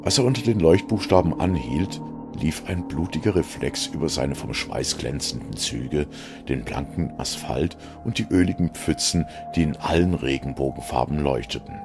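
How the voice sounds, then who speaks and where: Very deep, gravelly male storyteller. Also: normal speed. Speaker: narrator. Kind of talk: dramatic audiobook narration of a dark story. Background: music.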